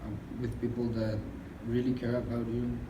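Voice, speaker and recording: A young adult man speaking haltingly, searching for words in a reflective group conversation. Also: medium pitch, flat delivery. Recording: echoey room with background noise.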